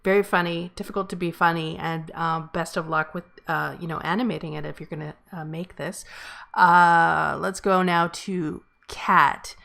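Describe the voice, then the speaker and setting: A woman's voice: on the low side, steady tone, moderate pace, creaky, a bit bright. A woman hosting and giving casual script feedback in an encouraging but frank way. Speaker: host and amateur screenwriter giving feedback.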